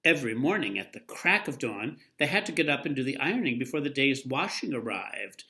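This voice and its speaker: A man reading a picture book aloud as a storyteller, with a lively, expressive delivery. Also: medium pitch, a little fast, vocal fry.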